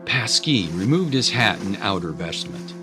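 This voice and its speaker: Low-voiced male dramatic story narrator. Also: very animated, normal speed. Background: clear music.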